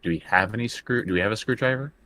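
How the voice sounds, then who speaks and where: A man's voice: on the low side, quick pace, pushed and bright. A man playing a tabletop roleplaying game, speaking casually and often in character, hesitating and repeating his words.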